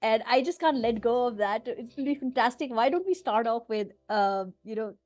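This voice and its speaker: A woman's voice: fairly high pitch, normal speed, darkish tone. Woman hosting an interview. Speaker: podcast host.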